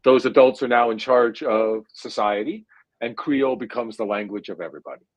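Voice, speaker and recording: A man's voice: mid-range voice, dry recording. Interview guest, man in his 40s, New Jersey accent, hesitant and reflective delivery, mid-range voice. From India. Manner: thoughtful, hesitant, full of drawn-out "uh" fillers. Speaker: guest neuroscientist. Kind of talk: conversational interview answer.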